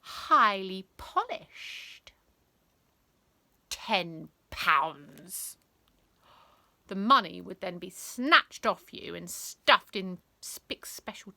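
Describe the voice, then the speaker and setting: Animated, bright-voiced woman reading a children's story. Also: mid-range voice, no room sound. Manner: theatrical, emphatic, with dramatic pauses and whispers.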